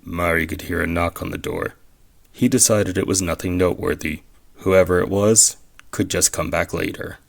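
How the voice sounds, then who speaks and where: A man's voice: low-pitched, fairly even intonation, moderate pace, vocal fry, slight background noise. A man reading scripted story narration for an audio drama.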